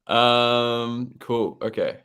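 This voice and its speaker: An Australian man hosting an online founder session, relaxed and upbeat, with a lot of "um"s. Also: medium pitch, very slow, clean tone. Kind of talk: casual live-stream chat.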